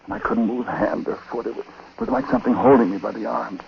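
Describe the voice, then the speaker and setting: A man playing a haunted, condemned husband telling his story haltingly and dramatically in a 1940s radio drama. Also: on the low side, steady tone, gravelly. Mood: haunted, tense. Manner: hushed, halting, dramatic first-person telling. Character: haunted condemned husband recounting his wife's uncanny death. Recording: noisy, muffled 1940s radio broadcast.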